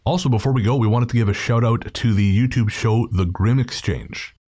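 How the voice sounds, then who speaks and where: A man's voice: mid-range voice, fairly quick, a little mellow. A man hosting a podcast, chatting casually and informally through thanks and plugs. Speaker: podcast host.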